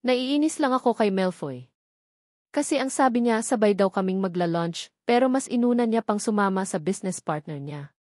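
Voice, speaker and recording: A woman's voice: medium pitch, expressive, moderate pace, a little mellow, dry recording, natural voice. Female reader as romance heroine: sulky young wife, Filipino accent, jealous, pouty, dry studio-like closeness.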